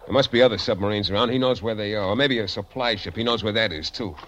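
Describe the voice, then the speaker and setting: An actor playing a tough, suspicious sailor with a blunt, slangy, hard-boiled manner in an old-time radio drama, on a noisy recording. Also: male voice, lowish voice, fast, a bit bright, lo-fi sound, natural voice. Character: tough, suspicious working-class sailor taking command.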